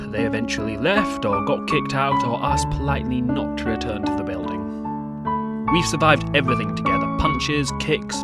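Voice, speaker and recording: A man's voice: medium pitch, lightly acted. A man playing a bullied, loyal teenage outsider, narrating a wry, confiding monologue in an audio drama. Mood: wry, earnest. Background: piano music.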